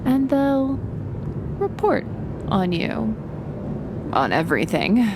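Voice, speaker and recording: A woman acting as a confident, wry supervillainess in an audio roleplay. She speaks slowly and teasingly, with a creaky edge on a medium-pitched voice. Clear ambient noise sits behind her intimate delivery. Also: very animated, exaggerated voice. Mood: wry, confiding. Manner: teasing, unhurried, pausing between phrases. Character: wry, confident supervillainess who talks to animals. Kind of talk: ASMR roleplay acting, intimate monologue to the listener.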